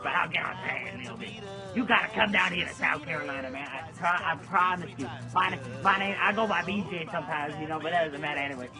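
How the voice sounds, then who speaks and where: A man playing a pushy, scatterbrained gun shop salesman in an amateur skit, rambling and repeating himself excitably. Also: on the high side, fast talker, music in the background, lightly acted. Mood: pushy, excitable. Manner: rambling, repetitive, excitable, slangy.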